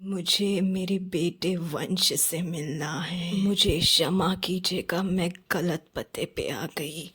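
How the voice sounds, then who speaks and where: A woman voicing a gentle, hurt but forgiving middle-aged village mother in a cartoon moral story, speaking softly and pleadingly. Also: lowish voice, steady tone, slow pace, hardly any pauses, husky, voice pushed down, full character voice. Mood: meek, hesitant.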